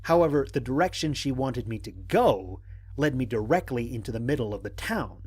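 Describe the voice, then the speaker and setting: A man playing a stranded space explorer who narrates his mission log in a measured, formal, dryly humorous way. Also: medium pitch, animated, darkish tone, husky. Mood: measured, analytical. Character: stranded male space explorer, analytical, wryly exasperated. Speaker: narrator of an audio drama. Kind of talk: scripted first-person mission log narration.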